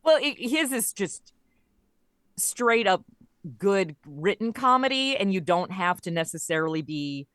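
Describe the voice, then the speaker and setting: A woman's voice: mid-range voice, big pitch swings, measured pace, a bit bright, no echo, clean studio sound. A woman speaking as an interview guest, telling stories in a casual, conversational way. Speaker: podcast guest, audio comedy creator.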